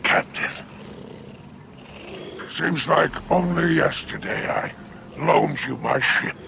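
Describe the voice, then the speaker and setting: An actor voicing a smug, menacing alien crime boss in a video game, drawling and insinuating in a gravelly growl. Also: male voice, mid-range voice, background noise, full character voice. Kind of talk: video game cutscene monologue.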